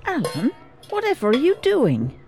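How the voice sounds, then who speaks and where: A woman playing a fond, gently teasing wife in an audio drama. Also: high voice, wildly swooping pitch, moderate pace, noisy background, exaggerated voice.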